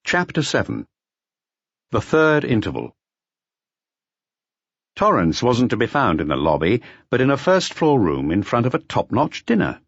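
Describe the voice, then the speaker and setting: Male storyteller for crime audiobook: lowish husky voice, expressive range, dry but slightly muffled recording. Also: unhurried, pauses often, dry recording. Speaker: audiobook narrator. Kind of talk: scripted narration of a detective novel.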